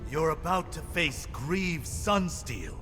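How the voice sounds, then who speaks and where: An actor playing a grave, cautionary ally in a video game, speaking in a serious, deliberate manner. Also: male voice, a little high, expressive. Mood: grave warning. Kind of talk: video game cutscene acting. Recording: long reverb, music behind.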